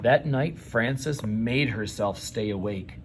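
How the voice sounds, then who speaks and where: A man reading a children's story aloud, with measured delivery and dramatic pauses. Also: medium pitch, slight background noise. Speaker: storybook reader. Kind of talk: expressive children's read-aloud narration.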